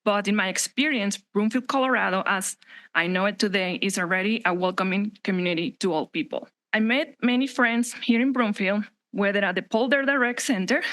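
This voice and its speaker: A woman's voice: mid-range voice, fairly bright tone. A woman from Mexico with a Mexican Spanish accent, her first language Spanish, reading a prepared public comment at a council chamber podium microphone, earnest with some slips and restarts.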